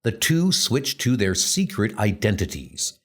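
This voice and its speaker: A man's voice: on the low side, sing-song, unhurried, fairly bright tone. A man narrating a superhero comic script in a theatrical, suspenseful manner.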